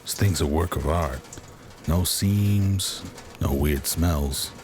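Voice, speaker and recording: Male actor as aging noir detective, extremely low voice, gruff, world-weary, profane grumbling, radio drama, clearly noisy recording. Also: takes it slowly. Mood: knowing.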